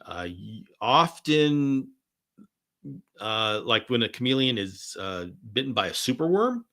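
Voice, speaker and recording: A man hosting a live question-and-answer stream, talking enthusiastically and rambling with many restarts, his voice going hoarse. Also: mid-range voice, very animated, a little slow, fairly bright tone. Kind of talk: live Q&A chat.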